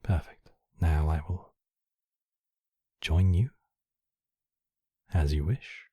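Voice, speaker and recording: A man voicing a courtly, possessive demon king, menacing yet tenderly protective, in slow, intimate ASMR roleplay with formal, measured phrasing. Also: very deep voice, mellow, slightly rough, no echo, clean studio sound, heavily acted. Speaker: voice actor in a roleplay. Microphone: close microphone, dry.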